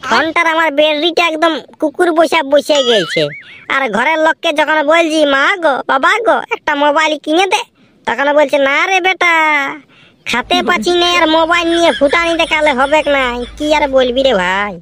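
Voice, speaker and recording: A high-voiced cartoon villager with a rural Purulia accent. The character blusters and feels sorry for themselves in a comedy cartoon style. Faint noise sits on the recording. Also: normal speed, sharp tone. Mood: self-pitying. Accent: Purulia, rural western Bengal.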